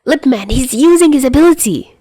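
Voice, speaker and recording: A woman's voice: high-pitched, animated, brisk, dark tone, dry recording, studio quality, pitched above the performer's own voice. A woman voicing a whiny, indignant, cheeky young man in an audio story. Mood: whiny protest. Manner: shrieking, whining, cheeky.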